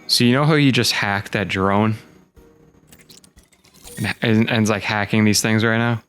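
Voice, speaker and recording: A man's voice: lowish voice, steady tone, measured pace, fairly bright tone, smooth. A man with a New Jersey accent, talking along casually with a friend during a game session, emphatic and full of slang. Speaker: co-host. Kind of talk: casual gameplay chat.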